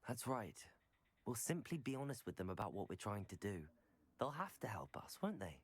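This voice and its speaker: An earnest young male wizard student in a fantasy game. His voice is on the low side, and he talks politely and articulately with quiet self-assurance. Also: big pitch swings, moderate pace, slightly rough, no room sound. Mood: reassuring. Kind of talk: video game voice acting.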